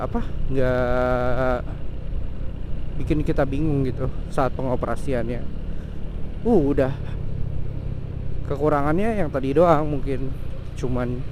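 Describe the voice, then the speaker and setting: A young man giving a casual, slangy product review outdoors, with lots of fillers and restarts. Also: sits high. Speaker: vlogger reviewing a motorcycle intercom. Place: outdoors by a road. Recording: outdoor traffic noise. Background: traffic noise.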